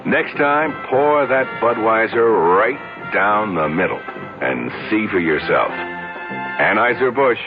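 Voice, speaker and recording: Low-voiced, chatty male radio announcer. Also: animated, slow pace. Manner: chatty, emphatic. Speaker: commercial announcer. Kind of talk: scripted conversational radio advertisement. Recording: tinny old radio broadcast, music behind.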